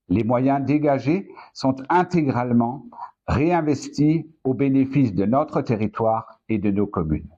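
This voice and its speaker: A man with a mid-pitched voice reads a formal address to elected officials. He speaks as a council president, measured and deliberate, breaking his sentences into careful segments. The recording is somewhat dull and muffled. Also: darkish tone. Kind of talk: prepared formal political speech, read out.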